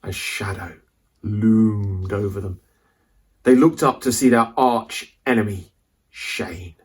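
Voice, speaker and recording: A man reading a children's adventure story aloud as a dramatic, suspenseful narrator with deliberate delivery. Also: lowish voice, darkish tone, husky.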